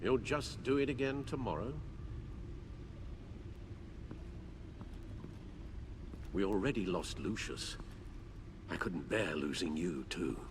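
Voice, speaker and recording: An actor playing an elderly British butler and guardian in a video game, anxious and devoted, with formal, careful, emotive phrasing. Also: male voice, deep voice, normal speed, background noise, close to an everyday voice. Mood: hurt, worried. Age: older. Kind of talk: cinematic game voice acting. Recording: music bed under dialogue.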